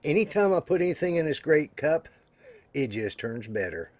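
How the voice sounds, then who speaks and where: A hoarse man in his sixties, co-hosting a live show and rambling along with self-deprecating jokes. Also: a little high, very animated, moderate pace, darkish tone. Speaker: co-host. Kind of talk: casual live-stream chat.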